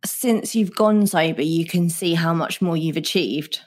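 Female British podcast host, deep voice for a woman, earnest, informal conversational delivery. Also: measured pace. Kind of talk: conversational interview, sharing personal experience.

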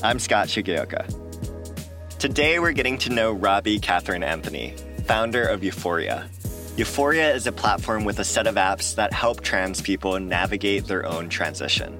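A man hosting a podcast, reading scripted narration in a clear, measured way. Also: fairly low pitch, lively intonation, music in the background. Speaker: podcast host.

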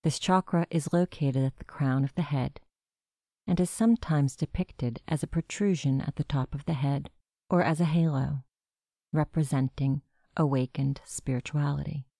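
A woman narrating a guided meditation with gentle, measured instructions. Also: deep voice, fairly even intonation, dry recording, studio quality.

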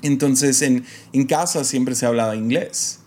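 A man's voice: medium pitch, measured pace, darkish tone. A young adult man from Mexico speaking with an American accent, hosting a podcast in a casual, reflective monologue that is hesitant and full of fillers and restarts. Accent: American English. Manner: hesitant, many fillers and restarts, light laughs. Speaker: podcast host.

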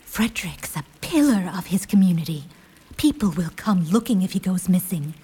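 Breathy, frightened young woman. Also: on the low side, animated. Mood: wary dread. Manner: halting, tense, hushed. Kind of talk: audio drama acting.

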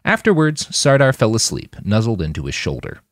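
A man's voice: on the low side, huge pitch swings, a little fast, no echo, studio quality. A man reading scripted fiction as an audiobook narrator.

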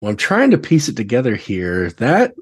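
Male guest, casual and halting, feeling his way through sentences. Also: medium pitch, wildly swooping pitch, husky. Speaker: podcast guest, theatre director. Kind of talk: casual chat.

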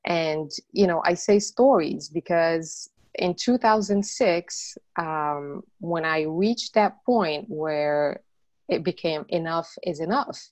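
A woman's voice: deep voice, slow, a little mellow, no echo. A middle-aged woman from Morocco, an interview guest telling her story earnestly, with many "you know" fillers.

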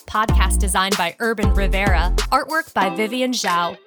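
A woman's voice: medium pitch, normal speed, over music. An American woman hosting a podcast, reading scripted recommendations in a presenter's delivery. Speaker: podcast host.

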